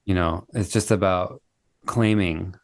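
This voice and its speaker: A fairly low-pitched man talks with easy enthusiasm, often affirming what he hears. He is heard over a video call, and the sound is dry with no room echo. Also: measured pace. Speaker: guest, singer-songwriter. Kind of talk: casual interview chat.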